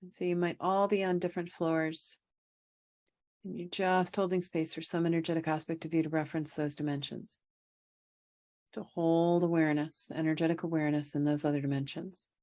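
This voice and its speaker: Soft mellow woman guiding a meditation. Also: sits low. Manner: calm, unhurried, repetitive phrasing with pauses. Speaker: meditation guide and energy coach. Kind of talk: guided meditation, spoken instruction.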